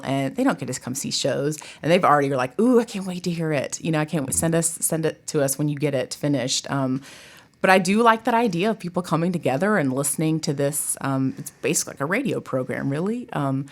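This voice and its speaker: Low-voiced woman chatting enthusiastically. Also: expressive, fast talker. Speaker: guest, college theatre director. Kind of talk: casual interview chat.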